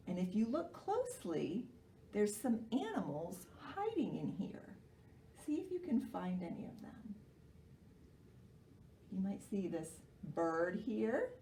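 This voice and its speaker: American lady doing kids storytime, very expressive, roomy far-off mic with a bit of noise. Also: mid-range voice. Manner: animated, simple explanations with questions to young children. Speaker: children's library storytime host. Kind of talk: children's storytime presenting and read-aloud. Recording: roomy, distant microphone, faint noise.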